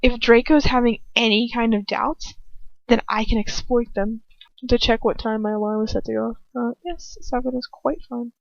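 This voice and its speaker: High-voiced, irreverent young woman reading aloud. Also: fairly even intonation, normal speed, gravelly, no room sound, muffled recording. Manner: irreverent, sweary, breaks off to comment and correct herself. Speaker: amateur fan fiction reader. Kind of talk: informal read-aloud with casual asides.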